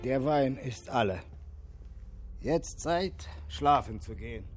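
Male, laconic drinking companion in radio play; very slow delivery, music behind. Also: mid-range voice, lively intonation. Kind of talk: radio drama dialogue.

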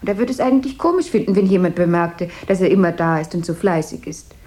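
A woman's voice: lowish voice, expressive, fast talker, a little mellow, close to an everyday voice. A woman playing a chatty, flighty, deferential secretary in a radio play, rambling on and eager to placate. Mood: earnest, rambling. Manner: rambling, babbling small talk, eager to placate. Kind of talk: 1970s German radio play acting. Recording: noisy 1970s radio drama recording.

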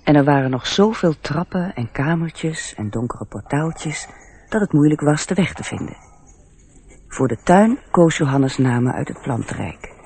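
A man's voice: a little high, lively intonation, moderate pace, a little mellow, a little hiss or hum. A man playing an earnest storyteller who narrates a radio play, confiding directly in the listener. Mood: descriptive. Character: intimate, gently earnest male storyteller.

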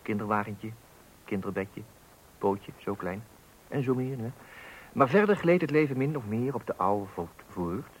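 A man's voice: fairly low pitch, expressive, normal speed, husky, rough recording. A man playing a wry fatherly narrator in a radio play, addressing men with pompous mock solemnity on a hissy old recording. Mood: wry reminiscing.

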